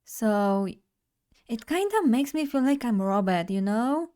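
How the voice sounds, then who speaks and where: A woman's voice: on the high side, lively intonation, unhurried, warm tone, smooth. Young woman, bubbly casual streamer talking to chat. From Poland. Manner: bubbly, chatty, addresses chat directly. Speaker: vtuber streamer.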